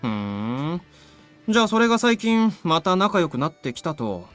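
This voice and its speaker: A man playing a teasing, slangy high school boy in a visual novel. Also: big pitch swings, quick pace, a bit bright, soft background music. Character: teasing, casual high school classmate, male. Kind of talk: Japanese visual novel voice acting.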